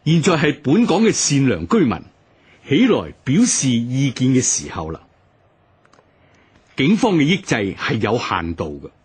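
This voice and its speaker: Man doing a stern cantonese government official, very raspy, big swings in pitch, formal radio proclamation. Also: huge pitch swings, a little slow, heavily acted. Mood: stern warning. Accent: Hong Kong Cantonese. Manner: solemn, official, declamatory. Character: stern colonial government official warning the public.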